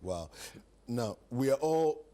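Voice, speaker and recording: A man's voice: mid-range voice, big pitch swings, takes it slowly, dark tone. A man with a Ghanaian accent hosting a morning TV show in a conversational style. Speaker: TV show host. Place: TV studio set.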